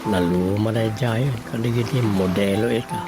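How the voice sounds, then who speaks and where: A man's voice: lowish voice, fairly even intonation, moderate pace, natural voice. A man playing a brooding, grumbling household character in an Ilocano radio drama, with an Ilocano accent and muttering delivery. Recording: loud music behind the voice.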